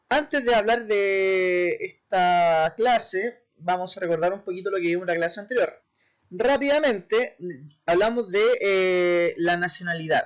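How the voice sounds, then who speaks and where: Chilean teacher explaining a lesson. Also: mid-range voice. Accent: Chilean Spanish. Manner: explains step by step, often checks in with "¿ya?" and "¿cierto?"; repeats key terms, brief hesitations and self-corrections. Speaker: history teacher. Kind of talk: recorded online class lecture.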